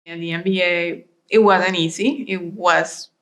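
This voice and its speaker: A woman's voice: on the low side, fairly even intonation, measured pace, a bit bright, faint music behind. Young woman from Venezuela, casual. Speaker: interviewee, daughter. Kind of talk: documentary interview.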